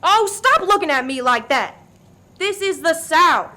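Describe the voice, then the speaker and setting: Woman playing a bossy, sharp-voiced diner boss. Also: extremely high pitch, lively intonation, unhurried, heavily acted. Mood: alarmed, defiant. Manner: commanding, emphatic. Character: bossy, no-nonsense Southern diner worker, armed and defiant. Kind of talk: farcical radio play acting.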